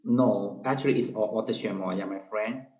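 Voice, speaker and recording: A man's voice: on the low side, normal speed, darkish tone, reverberant. A man from China with a Chinese accent, hosting a casual livestream chat with viewers, often repeating himself and tagging his sentences with "okay?". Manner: repeats phrases, tags lines with "okay?". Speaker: livestream host.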